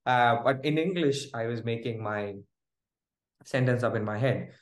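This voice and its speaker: A man recounts a personal story as an interview guest. He talks in an animated way and laughs often. His voice carries a noticeable room echo. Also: medium pitch, normal speed. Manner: animated, laughing, restarts while telling a story. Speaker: guest, groom-to-be. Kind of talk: casual storytelling. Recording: roomy echo.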